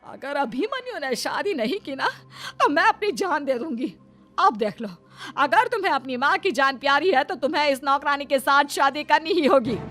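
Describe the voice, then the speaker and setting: Woman playing a high-pitched domineering mother-in-law. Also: animated, normal speed, fairly bright tone, soft background music. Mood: tearful, threatening. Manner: scolding, insistent, commanding. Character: domineering older mother-in-law, obsessed with a grandson. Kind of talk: Hindi cartoon story voice acting.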